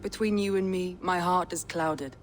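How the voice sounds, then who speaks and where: A woman voicing a proud, wary Viking clan leader in a video game, with deliberate, solemn delivery. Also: fairly low pitch, steady tone, soft background music, close to an everyday voice. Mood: resolute. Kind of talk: cinematic video game acting.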